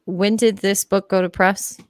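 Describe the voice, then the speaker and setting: A woman's voice: mid-range voice, little pitch movement, a little slow, dry recording, clean studio sound. A woman giving casual, conversational commentary, incredulous and dismissive, with some swearing.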